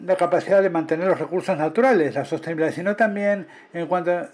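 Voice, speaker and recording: A man's voice: high voice, quick pace, a little mellow. A man interpreting a conference talk live, following the speaker in short phrases and correcting himself as he goes. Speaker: simultaneous interpreter.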